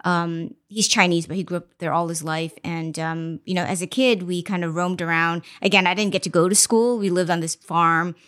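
A woman investor giving a candid, reflective podcast interview, often pausing with ums. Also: on the low side, fairly even intonation, fairly bright tone. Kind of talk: conversational interview. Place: studio interview.

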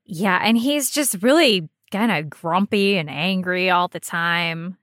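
A woman's voice: on the low side, measured pace, a bit bright, no echo, clean studio sound. A woman co-hosting a podcast in casual, teasing chat, joking and loosely spoken. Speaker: podcast co-host.

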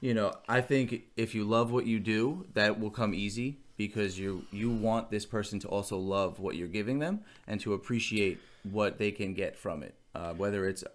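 A young man who manages a store, co-hosting a casual podcast, a little nasal and quick to agree. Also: on the low side. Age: young adult. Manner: agreeable, chimes in with "absolutely".

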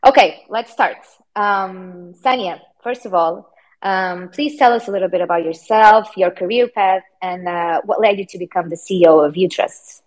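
A woman's voice: fairly low pitch, moderate pace, a little mellow, poor audio. Friendly, slightly hesitant woman host. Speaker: stand-in host, marketing head. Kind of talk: informal live session hosting.

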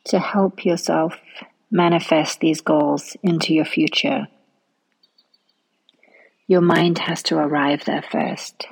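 Slow, low, breathy woman guiding meditation. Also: steady tone, rough recording. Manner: soft, breathy, soothing delivery trailing into near-whisper. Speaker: meditation guide. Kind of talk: guided relaxation meditation.

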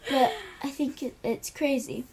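Teen girl co-host, upbeat, chatty fillers, higher pitch. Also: fairly even intonation, measured pace, a little mellow. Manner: encouraging, upbeat, filler-heavy. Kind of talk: casual chat.

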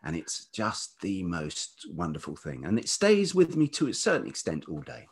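A British man in middle age speaks in a very deep, velvety voice with a husky grain. His interview talk is reflective and halting, wandering a little as he searches for the exact phrase. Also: wildly swooping pitch. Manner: halting, digressive, thoughtful. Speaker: podcast guest, writer and radio producer.

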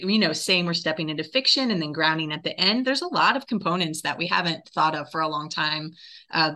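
A woman with a slightly low, clearly bright voice answers interview questions. She talks conversationally, explaining things and thinking them through as she goes. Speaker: interview guest, theatre director.

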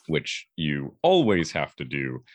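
Male interviewee, extremely low pitch, measured and halting, unhurried, reflective voice actor. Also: huge pitch swings, no room sound.

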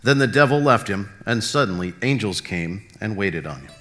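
A man's voice: fairly low pitch, expressive, moderate pace, music in the background. A man reading a Bible narrative aloud, dialogue included. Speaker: volunteer scripture reader.

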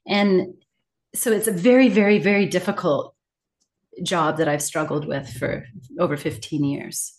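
Earnest woman with a lowish voice. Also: steady tone, measured pace. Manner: earnest, emphatic, articulate. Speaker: guest, communication coach and author. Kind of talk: reflective interview talk.

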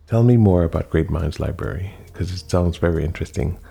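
A male talk show host with a low, very dark and mellow voice. He speaks slowly and emphatically, leaning on repetition. Faint music plays behind him. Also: vocal fry, slightly rough. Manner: slow, emphatic, repeats phrases for effect. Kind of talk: radio-style interview.

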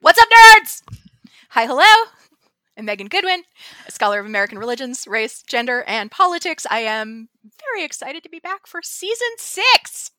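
A woman co-hosting a podcast in casual chat, bubbly and excitable and quick to laugh. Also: high-pitched, no room sound. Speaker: podcast co-host.

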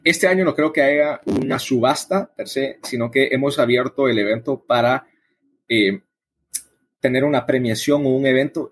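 Casting: male interview guest, husky mid-range voice, explanatory and conversational delivery. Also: mid-range voice. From El Salvador. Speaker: interview guest, coffee event organizer.

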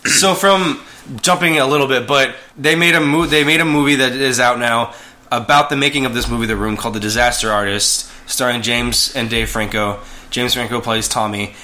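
A man's voice: medium pitch, steady tone, normal speed, sharp tone. A man co-hosting a casual movie chat, rambling with lots of fillers and restarts. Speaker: podcast co-host.

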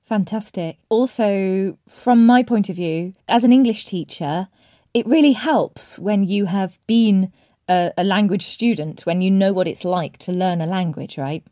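Woman doing a podcast interview, mellow voice, really dry recording. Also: mid-range voice, unhurried, no room sound. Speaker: podcast host, English teacher. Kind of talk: podcast interview with scripted intro.

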